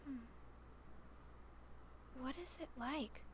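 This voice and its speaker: An actress playing a gentle, soft-hearted, worried young girl in video game cutscenes, polite and tentative. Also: high voice, drawn-out words, a little hiss or hum, low-quality recording. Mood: curious.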